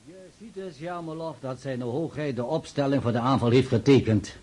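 A man acting a calm, dutiful staff general in a radio play, formal and matter-of-fact. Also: medium pitch, normal speed, natural voice.

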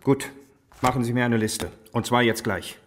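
A man's voice: mid-range voice, steady tone, moderate pace, slight background noise, close to an everyday voice. An actor playing a sardonic, dogged male detective questioning someone in a radio crime drama. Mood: insistent. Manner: sardonic, interrogating.

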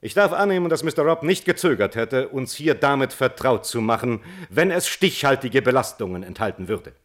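Earnest male defence attorney, played by an actor, medium pitch, measured and argumentative radio-drama courtroom delivery. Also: expressive, natural voice. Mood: earnest, insistent. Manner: formal, deliberate, argumentative. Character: measured, earnest defence lawyer pleading at a hearing.

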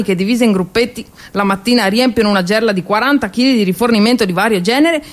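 A woman's voice: mid-range voice, lightly acted. A woman performing a theatrical monologue as a cheerful, naive, motherly mountain peasant who carries wartime supplies, chatty and self-mocking. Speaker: solo stage actress.

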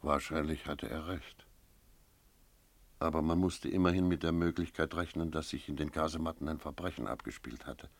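A sober investigator narrates a radio drama. The voice is extremely deep, with grave, deliberate phrasing and a wary, restrained manner. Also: male voice, fairly even intonation, gravelly, dry recording, exaggerated voice. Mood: sober, tense. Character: sober, wary male investigator recounting a night search. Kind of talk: first-person radio play narration with dialogue.

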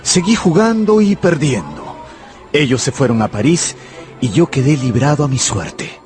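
An actor playing an obsessive, penniless young gambler who narrates a radio drama in a brooding, confessional manner. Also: male voice, mid-range voice, very animated, moderate pace, a little mellow, faint music behind, natural voice. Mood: resigned, wistful.

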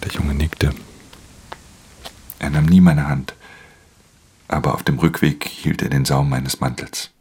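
Weary crook in a radio play, super low gravelly voice, terse and subdued. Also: male voice, fairly even intonation, a little slow, pauses often. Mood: detached. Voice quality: very deep, gravelly. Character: weary, hard-edged male thief narrating his own crime story. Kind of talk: radio drama, first-person narration and dialogue.

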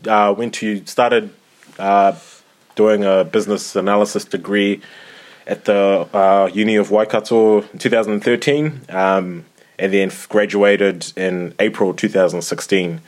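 Low-voiced young man, halting chat. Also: fairly even intonation. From Zimbabwe. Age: 20s. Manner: hesitant, many fillers and restarts. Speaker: podcast co-host. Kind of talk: casual podcast chat.

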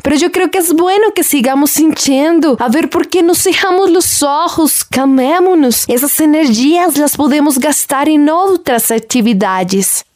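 A woman playing a soothing, liberal-minded doctor who calmly guides people through their feelings in a radio drama. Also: high voice, measured pace, hardly any pauses, no echo, clean studio sound. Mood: soothing, coaxing.

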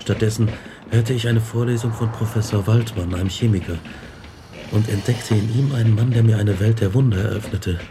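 Man acting a low-voiced, brooding young scientist. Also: a little fast, over music, close to an everyday voice. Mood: brooding, reflective. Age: young adult. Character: earnest, brooding young male scientist recounting his doom. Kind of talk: radio drama narration and dialogue. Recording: clear background noise and ambience.

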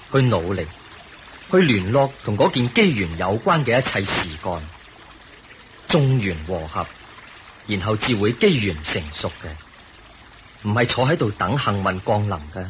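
A low-voiced, serene male spiritual teacher in a Cantonese radio drama. He instructs in a measured Hong Kong Cantonese, with lively rises and falls. A faint noise sits behind the voice. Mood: serene, instructive.

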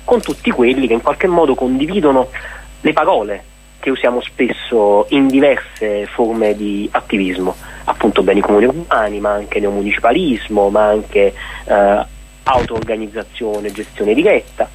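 Husky man explaining at length. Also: on the low side, moderate pace, darkish tone, rough recording. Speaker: activist guest presenting an event. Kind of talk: radio interview, spontaneous explanation.

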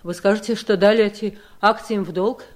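An actress playing a haughty, greedy, scheming wife in a Soviet seventies radio drama, with a mocking, theatrical, disdainful delivery. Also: female voice, mid-range voice, steady tone, a little fast, a little mellow, lightly acted. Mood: defiant.

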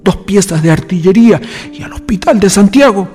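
A man's voice: high voice, animated, a little fast, mellow. A man narrating a historical radio drama from a script in a dramatic style. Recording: long reverb, music behind.

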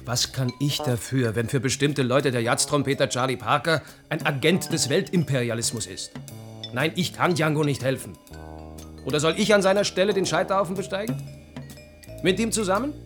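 A man's voice: mid-range voice, normal speed, close to an everyday voice. An actor playing a self-justifying, evasive young man who sounds defensive and dismissive in a 1980s radio drama. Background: clear music.

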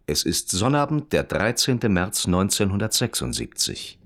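A wry, precise narrator of a radio crime drama. His voice is low and its melody swings widely. He delivers clipped, literary reportage. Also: big pitch swings, moderate pace, a bit bright, full character voice. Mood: matter-of-fact.

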